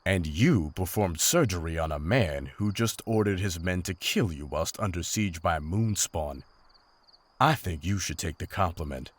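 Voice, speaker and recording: A man's voice: sits low, animated, no echo. A man playing a seasoned, honorable monster hunter in a fantasy drama, with formal, restrained, serious speech. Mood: measured. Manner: formal, measured, serious wording. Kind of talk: fantasy audio drama acting.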